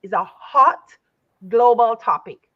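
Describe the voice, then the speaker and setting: A woman interviewer asking measured, encouraging questions over a video call. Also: fairly high pitch, animated, no room sound. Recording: dry, slightly dull audio.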